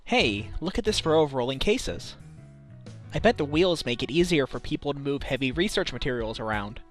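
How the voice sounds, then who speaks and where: A man's voice: mid-range voice, lively intonation, normal speed, soft background music, lightly acted. A man voicing an earnest, determined defense lawyer in a game fandub, in a conversational manner. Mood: light, wry. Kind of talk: scripted game fandub acting.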